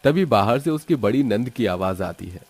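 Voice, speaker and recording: A man's voice: fairly low pitch, animated, moderate pace, a little mellow, breathy edge, no room sound. A man narrating a scripted moral story as its storyteller.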